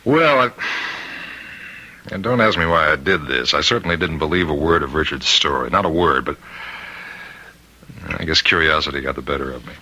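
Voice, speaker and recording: Deep, husky prison warden. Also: male voice, moderate pace, long pauses, bright, forceful tone, heavily acted, poor audio. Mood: musing, domestic. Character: respected prison warden, a husband growing uneasy. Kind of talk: old-time radio mystery acting. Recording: vintage radio drama recording.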